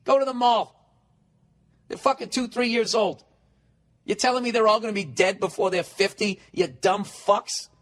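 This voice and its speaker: 40s guy ranting on his podcast, high voice, swears nonstop, sarcastic. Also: fairly even intonation, normal speed, fairly bright tone. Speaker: podcast host. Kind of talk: solo comedic rant.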